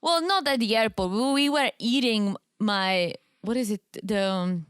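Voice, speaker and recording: A woman's voice: on the high side, a little slow, clear, resonant tone, no echo, studio quality. A woman from the Czech Republic co-hosting a podcast in casual, playful banter. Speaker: podcast co-host. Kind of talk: casual banter and TV recap chat.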